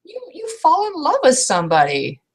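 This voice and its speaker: A woman's voice: sits high, wildly swooping pitch, moderate pace, voice pushed up, exaggerated voice. Woman playing a blunt, indignant troll woman. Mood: indignant, incredulous. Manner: blunt, exclamatory, swearing.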